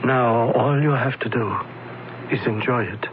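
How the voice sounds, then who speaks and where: An actor playing a polite, soothing film projectionist in a radio drama, speaking in a measured way. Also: male voice, medium pitch, lightly acted. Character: smooth, courteous male film projectionist, reassuring. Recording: muffled radio recording, faint noise.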